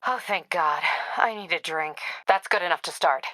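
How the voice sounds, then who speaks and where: Crisp, bright-voiced no-nonsense police captain. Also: female voice, on the low side, normal speed, no room sound, low-quality recording. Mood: grim, businesslike. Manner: clipped, authoritative, dry. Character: tough, overworked, no-nonsense female police captain. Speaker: video game voice actor. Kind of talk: dramatic video game dialogue.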